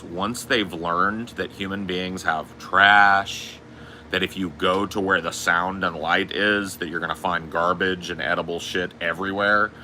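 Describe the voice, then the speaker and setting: A man with a booming voice, telling stories in a casual, profane podcast chat. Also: pushed and bright, a little hiss or hum. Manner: profane, storytelling. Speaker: podcast host.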